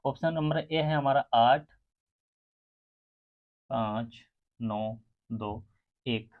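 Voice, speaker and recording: A man's voice: mid-range voice, drawn-out words. Male teacher, tinny phone-like sound. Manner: addresses students directly, counts sums out aloud. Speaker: maths teacher for exam aspirants. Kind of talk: step-by-step lesson to students. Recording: muffled, phone-like narrow sound.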